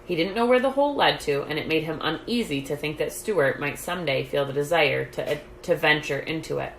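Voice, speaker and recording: A woman reading a children's book aloud as a storytime host, in a measured storytelling way. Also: sits low, gravelly. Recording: roomy echo, light background noise.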